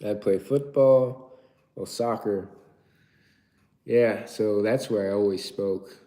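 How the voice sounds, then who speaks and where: A man's voice: fairly low pitch, takes it slowly, dark tone. A young man from Turkey chatting casually on a live stream, reading out viewer questions and rambling through his answers.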